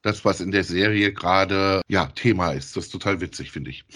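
A man's voice: low voice, normal speed, a bit bright. A man chatting casually as he co-hosts a review of audio dramas.

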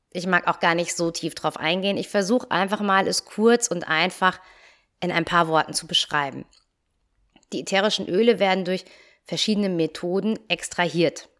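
A young woman hosting her own podcast as a yoga teacher and coach, talking in a calm, friendly way straight to the listener, her nose still a little stuffy from a cold. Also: fairly low pitch. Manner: calm, friendly direct address. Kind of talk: solo podcast talk.

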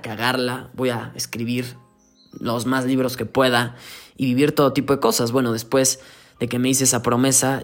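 An earnest young man with a Mexican Spanish accent speaks in a middle-pitched voice. He talks reflectively and motivationally, as a coach would, mixing in casual slang. Soft music plays faintly under his voice. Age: 20s. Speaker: podcast host and coach. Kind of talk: reflective solo podcast monologue.

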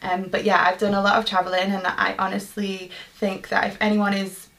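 A young woman speaks at an everyday mid pitch. She talks through listener questions in a chatty, earnest way, circling back with ums and false starts as she thinks aloud. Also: flat delivery, a little fast, fairly bright tone, low-quality recording. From Manchester, England. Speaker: podcast host. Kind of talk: casual solo Q&A monologue.

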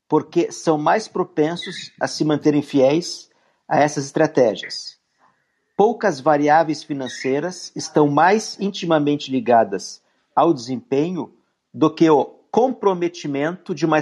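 Husky Brazilian man hosting. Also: fairly high pitch, darkish tone. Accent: Brazilian Portuguese. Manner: friendly, chatty, with restarts. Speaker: host. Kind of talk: podcast interview.

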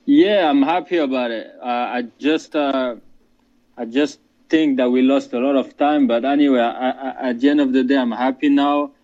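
A man answers in loose, halting bursts, stumbling over words and dropping casual slang. His voice sounds dark and mellow. The line comes through dull and lo-fi, as if over a remote call. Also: mid-range voice, fairly even intonation. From France. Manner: halting, many restarts and fillers, casual slang. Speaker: MMA fighter.

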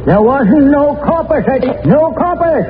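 A man's voice: very high voice, lively intonation, unhurried, background noise. A man acting a surly, uneducated murder defendant with belligerent, folksy speech in a 1940s radio crime drama. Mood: desperate, outraged. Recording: tinny 1940s radio recording with music.

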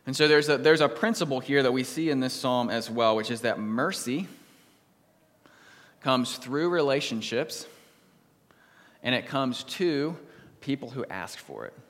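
A man preaches from the pulpit at a middle pitch, his voice carrying a long reverb through the church. He reads scripture slowly and solemnly, and turns livelier when he tells a story. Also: lively intonation, a bit bright. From United States. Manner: measured, solemn reading, livelier when telling stories. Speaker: pastor. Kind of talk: sermon with scripture reading and prayer.

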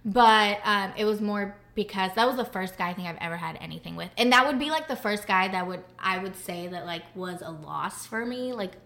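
A young adult woman speaking candidly as a podcast guest, halting often with fillers and restarts. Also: mid-range voice, fairly bright tone. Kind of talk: candid conversational interview.

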